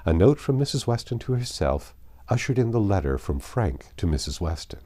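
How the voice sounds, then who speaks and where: A man with a very deep, soft-edged voice reads literary fiction aloud. His delivery is formal and measured, with lively swings of intonation on stressed phrases. It has the style of an expressive audiobook narration. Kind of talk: expressive literary read-aloud of a classic novel.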